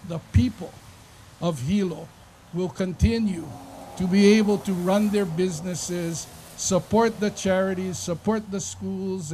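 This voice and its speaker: A man with a fairly high voice delivers an impassioned public speech, building his points through repeated phrases. He speaks as a legislator at an official signing ceremony, and faint ambient noise sits under his voice. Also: dark tone. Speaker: state legislator giving a speech.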